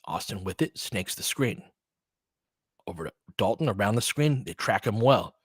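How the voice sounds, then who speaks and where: A man's voice: on the low side, lively intonation, moderate pace, husky, no room sound, clean studio sound. A man doing casual live basketball play-by-play and analysis, mixing short clipped phrases with conversational asides as a partisan fan of the team.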